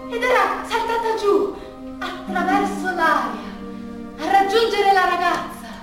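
A woman's voice: extremely high pitch, unhurried. A woman performing a dramatic theatrical monologue, telling a story in short, suspended phrases. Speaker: stage actress, monologue performer. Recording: very echoey, distant stage recording with music. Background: music.

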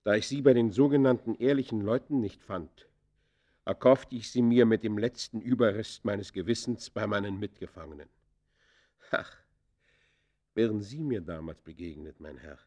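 An actor playing an embittered ex-convict in a radio play, confessing in theatrical, literary that swings from hushed to fierce. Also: male voice, lowish voice, normal speed, close to an everyday voice. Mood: sorrowful, pleading. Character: embittered male ex-convict confessing his descent into crime. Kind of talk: German radio play acting, literary monologue.

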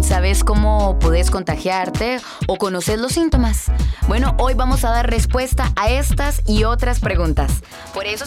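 Higher-pitched woman radio host, music behind. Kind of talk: upbeat scripted youth radio presenting.

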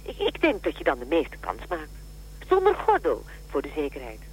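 An actress playing a brisk, matter-of-fact and drily blunt helpline operator in a radio drama. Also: female voice, deep voice, wildly swooping pitch, slight background noise, rough recording.

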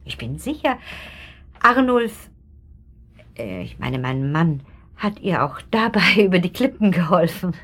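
Snide, husky-voiced society gossip. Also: female voice, fairly low pitch, sing-song, unhurried, pauses often, fairly bright tone, full character voice. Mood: insinuating. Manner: insinuating, pointed, with trailing asides. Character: gossipy, snide upper-class pharmacist's wife, malicious amusement. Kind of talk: German radio drama acting.

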